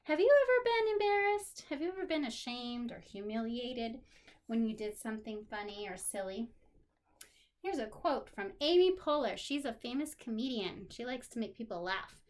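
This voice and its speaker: A woman teacher speaking directly to young children in an animated, encouraging way, with simple phrases and questions. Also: on the high side, moderate pace. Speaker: special education teacher. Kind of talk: lesson for young children, talking directly to pupils.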